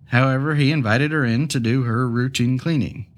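A man's voice: mid-range voice, moderate pace. A man hosting a true crime podcast, sounding stuffy from a cold, telling the case with casual, jokey asides. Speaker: podcast host.

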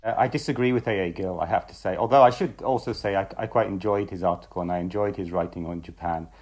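Husky, low-voiced male writer. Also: quick pace, a little mellow. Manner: reflective, amused, hesitant with ums. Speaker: magazine writer, guest. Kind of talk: conversational interview answers.

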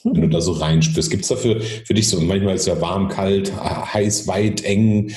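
A man's voice: low-pitched, steady tone, moderate pace, a little mellow. A man hosting a podcast in a conversational way, repeating words as he feels for his phrasing, in an echoey recording. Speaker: podcast host. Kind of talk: conversational interviewing. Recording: roomy, echoey sound.

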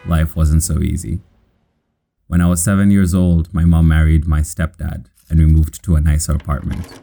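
A man from Kenya with an American accent, narrating his own life in measured, scripted, plainly worded short sentences. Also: a bass voice, level tone, gravelly, warm tone, a little hiss or hum. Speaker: storyteller.

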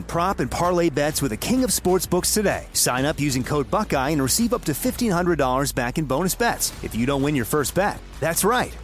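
A man's voice: on the high side, slight background noise. A man delivering a scripted promotional read as an advertisement announcer.

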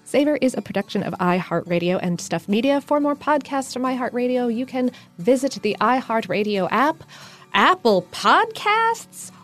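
A woman co-hosting a podcast, chatting casually with dry humor and reading out listener letters. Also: on the high side. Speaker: podcast co-host.

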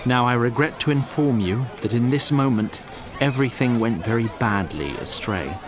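A man narrating a scripted fantasy tale in a theatrical, deliberate and wry manner. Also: medium pitch, music in the background.

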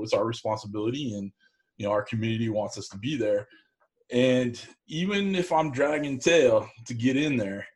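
A man in a casual conversation, talking in a rambling, folksy and plain-spoken way as a show host. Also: mid-range voice. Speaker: co-host of an online cooking show, interview guest.

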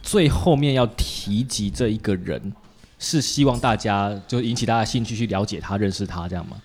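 A man's voice: fairly low pitch, normal speed, a little mellow. A man with a Taiwanese Mandarin accent hosts a casual podcast interview, feeling his way into questions in a hesitant, roundabout manner.

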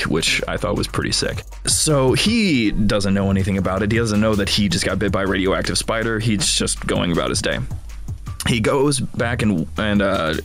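A man's voice: fairly low pitch, fairly bright tone. A man hosting a podcast, chatting informally and with amusement through a casual film recap. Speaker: podcast host.

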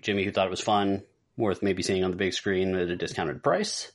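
A man hosting a casual podcast, explaining in a measured way and summing up for the group. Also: deep voice, fairly even intonation. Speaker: podcast host. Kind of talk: casual talk show moderating.

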